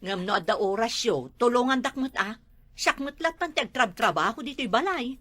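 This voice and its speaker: A woman acts a harried Ilocano housewife in a comedy drama. Her voice is high and bright, and it swings widely as she grumbles about her chores. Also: lively intonation, a little fast. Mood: bossy. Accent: Ilocano Filipino. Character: busy, put-upon housewife and mother, grumbling about chores.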